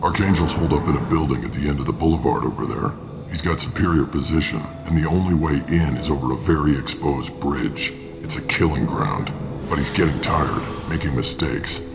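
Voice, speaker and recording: An actor playing a gruff, businesslike mercenary recruiter in a video game, blunt, unimpressed and matter-of-fact. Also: male voice, a bass voice, fairly quick, in a large hall. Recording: loud music behind the voice. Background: loud music.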